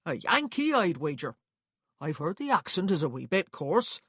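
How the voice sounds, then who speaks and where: A woman's voice: on the low side, sing-song, moderate pace, fairly bright tone, slightly rough, dry recording, studio quality, heavily acted. A woman voicing a nosy, officious male stagecoach clerk in an audiobook, chatty and rambling. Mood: smugly triumphant. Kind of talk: acted audiobook dialogue.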